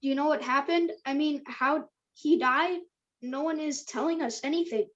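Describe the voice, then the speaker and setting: A woman's voice: high voice, moderate pace, highs cut off, close to an everyday voice. A woman playing an anxious, caring teenage boy in a table read of a drama script.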